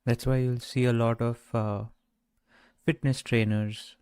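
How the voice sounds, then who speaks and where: A man guiding a meditation in a gentle, measured way, speaking off the cuff with long pauses. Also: medium pitch, little pitch movement, clear, resonant tone, dry recording, studio quality. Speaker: meditation guide. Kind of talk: guided meditation, unscripted talk.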